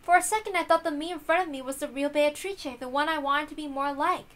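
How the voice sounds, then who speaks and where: A woman's voice: high voice, fast, a bit bright. A woman voicing a timid, earnest newborn witch with a hesitant, polite manner in a visual novel reading. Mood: wondering, earnest.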